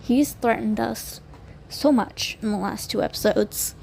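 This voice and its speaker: A woman's voice: a little high, measured pace, creaky, a little mellow. A woman leaving a listener voice message as a hesitant, informal monologue. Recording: noticeable background noise.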